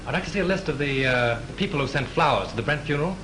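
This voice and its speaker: An actor playing a brisk male studio publicity man asking probing questions, in 1930s film acting. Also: medium pitch, lively intonation, close to an everyday voice. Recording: hissy 1930s film soundtrack.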